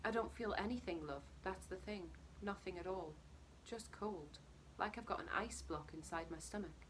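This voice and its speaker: Storybook role: middle-aged survivor dad played by a woman, lowish, blokey, guarded and worn out, faint noise behind. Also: moderate pace, a bit bright, natural voice, poor audio. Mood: numb. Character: numb, weary middle-aged husband and father, blokey survivor.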